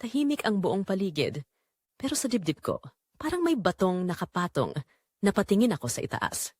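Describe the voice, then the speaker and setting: A woman playing a guilt-ridden pregnant young wife, telling her secret as an anguished, measured, first-person dramatic confession. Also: medium pitch, sing-song, a little mellow, slightly rough, no room sound, studio quality. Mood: anguished, burdened.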